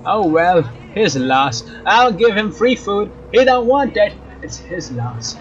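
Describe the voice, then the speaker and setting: A man's voice: high-pitched, big pitch swings, slow. A man hosting a let's play, reading game narration aloud in a casual way and sometimes rereading phrases. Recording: slightly dull mic, game music behind. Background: game music with guitar.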